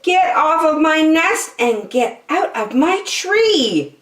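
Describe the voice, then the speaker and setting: A woman's voice: high voice, very animated, slow pace, far from the mic, voice pushed up, heavily acted. A woman voicing a bossy, selfish female bird in a children's storybook, exclaiming in a screechy voice. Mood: indignant. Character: lazy, selfish, shrill female bird, bossy runaway mother. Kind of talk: children's storybook read-aloud. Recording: roomy echo.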